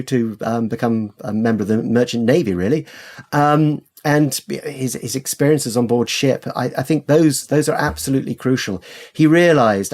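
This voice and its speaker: A man's voice: mid-range voice, darkish tone. A man with a British accent speaking as a scholarly interview guest, telling stories with enthusiasm and hesitant, repeated words. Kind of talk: conversational interview, storytelling.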